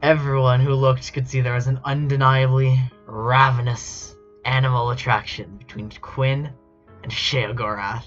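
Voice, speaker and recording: Slow-paced man with a dull, lo-fi sound. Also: medium pitch, flat delivery, fairly bright tone. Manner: amused, incredulous asides while reading. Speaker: streamer reading fan fiction aloud. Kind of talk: casual chat and mock-dramatic reading. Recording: dull lo-fi mic with music behind. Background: background music.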